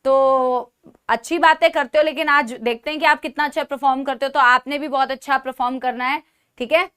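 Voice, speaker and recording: A woman's voice: sits high, fairly even intonation, brisk, a bit bright. A woman teaching a live online biology class with a sore throat, speaking Hindi mixed with English, calling her students beta and checking in with "theek hai?" after nearly every point. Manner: mixes English phrases into Hindi speech.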